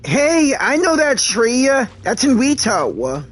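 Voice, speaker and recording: A man reacting live to a video game as a streamer, with loud, excitable interjections. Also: extremely high pitch, slow.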